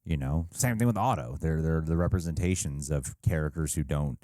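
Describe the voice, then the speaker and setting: A man's voice: extremely low pitch, fairly quick, dark tone, no echo, studio quality. A man co-hosting a reaction show, talking casually in a rambling, self-deprecating way with some swearing. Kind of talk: casual reaction commentary and discussion.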